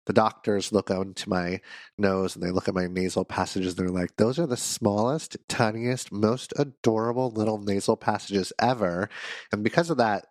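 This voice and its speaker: A man's voice: on the low side, normal speed, no echo. A man, a counselor, hosting a solo podcast while sick, with a congested, nasal voice and a playful, self-mocking chat.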